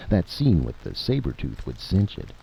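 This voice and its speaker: A man's voice: low-pitched, huge pitch swings, moderate pace, gravelly, warm tone, slight background noise, heavily acted, low-quality recording. A man voicing a practical, insistent adventurer with a folksy, argumentative manner in a story reading. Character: practical, insistent male time-travelling adventurer and schemer.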